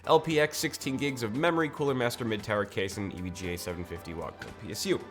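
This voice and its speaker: A man's voice: mid-range voice, fairly even intonation, fast, fairly bright tone. An American man in his thirties giving casual, joking, conversational commentary as a tech video host. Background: background music.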